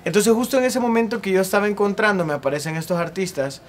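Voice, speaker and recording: A young man in his twenties with a Salvadoran accent, a singer telling his story at length in a relaxed interview on an outdoor patio. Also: high voice, fast, a little hiss or hum. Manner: earnest, talks at length with ease. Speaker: singer and guest. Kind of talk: casual interview.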